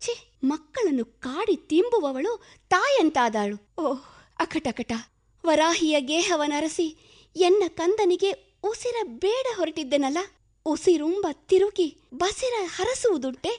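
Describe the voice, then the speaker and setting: A woman's voice: very high voice, expressive, slow pace, exaggerated voice. An actress in a radio play playing a compassionate village woman, pleading in impassioned, poetic, old-fashioned literary speech. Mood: indignant, anguished.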